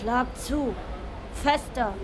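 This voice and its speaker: Tough high-voiced teenage girl. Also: drawn-out words. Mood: desperate, demanding. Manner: terse, insistent commands. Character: tough, defiant teenage girl, hard and demanding. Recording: noisy street ambience behind.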